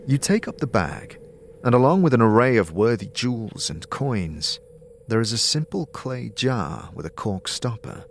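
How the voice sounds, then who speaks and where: A man reading scripted second-person fantasy narration as a game master, in a measured, dramatic storytelling manner. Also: mid-range voice, very animated, a little hiss or hum. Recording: background music.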